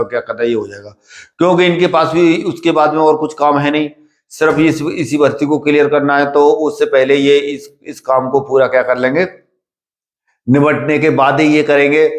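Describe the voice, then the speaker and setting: A man giving informal, instructive advice to exam candidates like a coach, repeating himself and often pausing on filler phrases. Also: fairly high pitch. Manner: repetitive, halting, with filler phrases and check-questions; instructive, paternal address to students. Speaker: coach at a physical training academy for police and army recruitment. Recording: echoey room.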